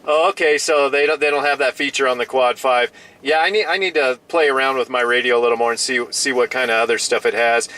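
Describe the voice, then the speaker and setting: A man's voice: medium pitch, fast talker, bright, forceful tone, slight background noise. A man chatting casually as a radio hobbyist, often restarting and repeating his phrases.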